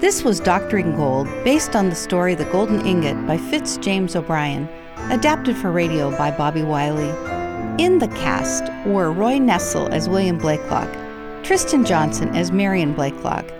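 A woman announcer reading scripted radio credits in a formal, clearly enunciated way. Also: lowish voice, big pitch swings, moderate pace. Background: loud music.

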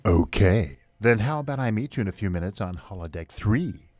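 A man's voice: on the low side, animated, a little fast, darkish tone, lightly acted. A man playing a flippant, lecherous starship first officer in a comedic radio play, with a breezy, hammy delivery. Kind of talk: comedic radio theatre parody acting.

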